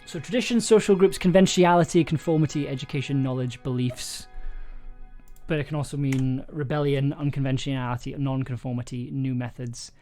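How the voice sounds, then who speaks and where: A man's voice: mid-range voice, steady tone, fairly quick, darkish tone. A man livestreaming in a casual, hesitant way, thinking aloud and reading from his own story draft. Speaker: streamer and audio drama writer. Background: chill soundtrack music.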